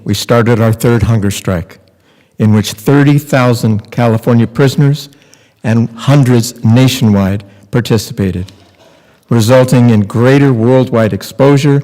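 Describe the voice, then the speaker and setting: A man's voice: medium pitch, warm tone. An older man giving a scripted reading aloud at a lectern. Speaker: reader in readers theater. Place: large temple hall, at lectern. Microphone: microphone on stand. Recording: echoey hall.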